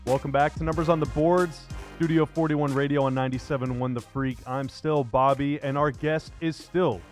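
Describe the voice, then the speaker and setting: A man's voice: a little high, fairly even intonation, moderate pace, soft background music. A man hosting a sports radio show, speaking in a conversational interview style into a close microphone. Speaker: podcast and radio show host.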